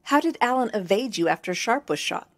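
A woman playing a cold, calculating military commander in an audio drama, stern, measured and condescending. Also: medium pitch, expressive, dry recording. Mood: probing, contemptuous.

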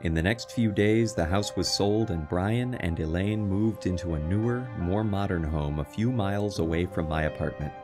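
An actor as a haunted, earnest man telling his story in a radio horror drama. His voice is low and measured, ominous in tone, with music playing underneath. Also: natural voice. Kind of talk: dramatic first-person radio narration.

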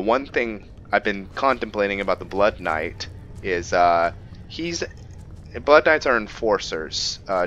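A man giving casual let's play commentary, pausing often mid-sentence as he thinks aloud. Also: sits low, bright, forceful tone. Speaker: game streamer narrating a roleplay playthrough. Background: game music.

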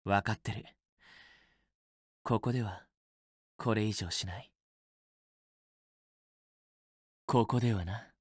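Young student boyfriend, romance game character, possessive, teasing, softly coaxing, dry close recording. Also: male voice, fairly low pitch, a little slow, breathy edge, no echo. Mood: teasing, intimate. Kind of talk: Japanese otome game voice acting, intimate romantic dialogue.

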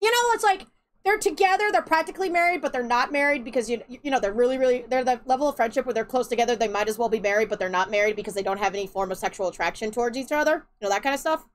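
A woman streamer with a light twang, ranting in exasperated gameplay commentary with loud outbursts and laughter. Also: a little high, very fast.

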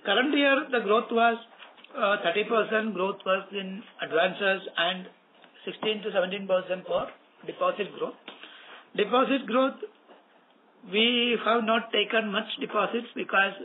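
Over a thin, noisy telephone connection, a man with an Indian accent speaks in a distinctly high voice. He explains figures formally, as a bank executive on an earnings call. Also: steady tone, a little slow. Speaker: bank executive answering an analyst. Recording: phone-like conference line with clear noise.

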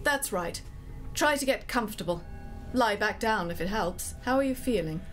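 Woman, dramatic storyteller, scripted narration, deliberate delivery, prominent background music. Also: mid-range voice, lively intonation, fairly bright tone.

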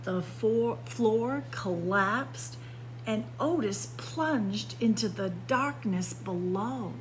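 Female picture book narrator: unhurried, dramatic phrasing, recorded indoors with background noise. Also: rough recording. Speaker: library story time reader. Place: indoors by bookshelves. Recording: clear background noise with low hum.

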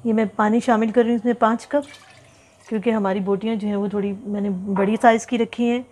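A woman's voice: on the high side, flat delivery, darkish tone, slight background noise. Chatty woman teaching a home recipe. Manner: chatty, instructive, lists ingredients slowly with repeats. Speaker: cooking channel host. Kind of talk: home cooking tutorial voice-over. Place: home kitchen.

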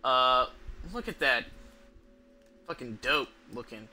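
A young man chatting casually on a game livestream, halting and hesitant, with a sweary, offhand way of talking. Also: medium pitch, lively intonation, bright, forceful tone, faint music behind. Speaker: video game streamer. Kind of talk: casual livestream chat over gameplay. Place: small indoor room at home.

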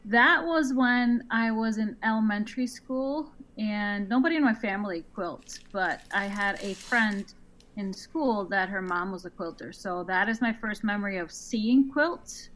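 A woman from Iceland, a guest being interviewed, talking casually with frequent restarts and a quick laugh. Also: medium pitch, fairly bright tone, low-quality recording. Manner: chatty, quick to laugh, restarts her sentences. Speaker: guest quilt designer.

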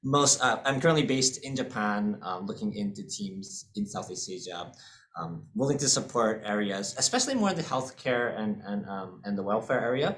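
A man's voice: on the low side, lively intonation, moderate pace, a bit bright. A man in his thirties with an American accent, presenting his fund at an online seminar, speaking through a laptop mic with many fillers and repeated words. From born in the UK, raised in US and Hong Kong. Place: indoor room, webcam video call.

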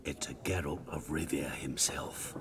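A man's voice: very deep voice, a little slow, gravelly, whispered, heavily acted. An actor playing a chatty, ingratiating travelling merchant in a video game, florid and insinuating in his delivery. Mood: awed, sly. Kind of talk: theatrical video game dialogue. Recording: busy tavern chatter behind the voice.

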